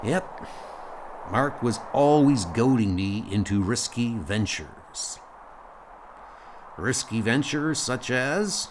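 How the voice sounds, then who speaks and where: A man playing a measured, earnest, loyal starship captain in an audio comic dub. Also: mid-range voice, animated, background noise. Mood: reflective. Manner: deliberate, earnest.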